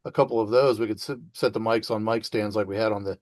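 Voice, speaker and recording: A man's voice: on the low side, fairly even intonation, quick pace, a little mellow, no echo, clean studio sound. A man talking practically and a little wryly in a casual group discussion.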